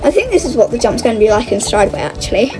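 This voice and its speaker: Female gaming host, high voice, casual and exclamatory, thinking aloud, faint music underneath. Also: big pitch swings, quick pace. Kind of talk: casual gameplay commentary.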